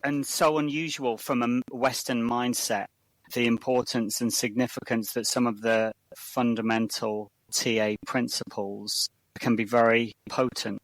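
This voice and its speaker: A man's voice: mid-range voice, level tone, unhurried, fairly bright tone, dry recording, poor audio. Earnest man podcast host, dry sound. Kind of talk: casual reflection and outro announcement.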